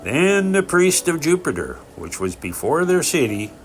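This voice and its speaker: A man reading scripture aloud in a solemn narrated style, with deliberate, emphatic phrasing and pauses. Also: on the high side, big pitch swings. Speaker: scripture reader. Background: background music.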